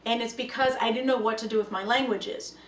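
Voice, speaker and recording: A young woman whose first language is Russian speaks to the camera in an earnest, reflective way, stressing her key points with some life. Her voice sits a little high. The room is echoey, she sits some way from the mic, and a faint hum runs beneath her. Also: brisk, recorded from a distance. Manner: earnest, reflective, lively emphasis. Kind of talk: personal talk to camera.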